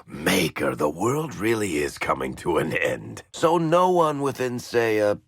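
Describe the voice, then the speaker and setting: A male actor voicing a sardonic dwarf rogue and storyteller in a fantasy video game, with a gravelly, husky voice and a dry, teasing, wisecracking delivery. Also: low voice, very animated, unhurried, a bit bright, no echo, exaggerated voice.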